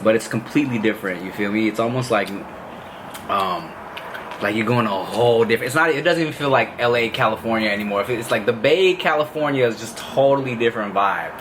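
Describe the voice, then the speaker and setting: A man hosts a loose, easygoing chat, his slangy talk rattling along at a quick clip. His voice sits at a middling pitch. Steady background noise hums under the recording. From Los Angeles, raised there. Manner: laid-back slangy talk, many asides. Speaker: podcast host. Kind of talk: casual chat.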